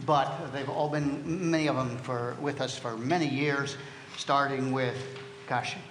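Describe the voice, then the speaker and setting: A man's voice: medium pitch, fairly even intonation, a little slow. A man hosting a live stage show, announcing in a joking, informal way. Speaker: host. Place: banquet hall before live audience. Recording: echoey noisy hall with loud audience.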